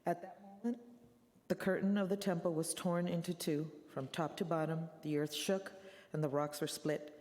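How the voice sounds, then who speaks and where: A woman reads scripture as the narrator of a church dramatic reading. Her voice sits low and is dark and mellow, with the long reverb of a large sanctuary around it. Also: flat delivery, normal speed. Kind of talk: church readers' theater narration.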